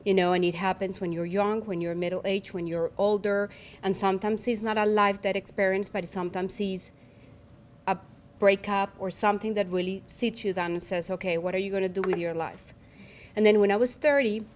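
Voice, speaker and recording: Earnest Colombian-accented woman in her forties. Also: mid-range voice. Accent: Colombian Spanish. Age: 40s. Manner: earnest, reflective, restarts phrases often. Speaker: keynote speaker. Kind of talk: personal speech at a luncheon.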